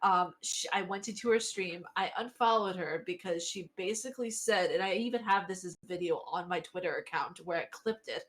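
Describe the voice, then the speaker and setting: A woman's voice: on the low side, fairly quick, few pauses, a bit bright, rough recording. Slangy woman chatting on a podcast. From American Israeli background. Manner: informal, slangy, sweary. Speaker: podcast panelist who streams. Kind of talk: casual chat, anecdotes.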